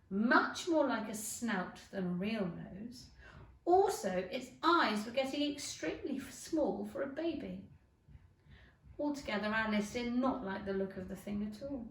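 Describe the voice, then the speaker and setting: A woman giving an animated, emphatic narration of a children's storybook, like a teacher. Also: fairly high pitch. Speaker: schoolteacher reading a story. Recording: echoey room, distant microphone.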